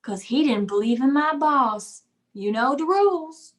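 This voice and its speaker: A woman's voice: high-pitched, animated, unhurried. A woman voicing a folksy, chatty little male Christmas brownie with a Southern US accent, in old radio comedy style. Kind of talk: 1950s radio comedy acting.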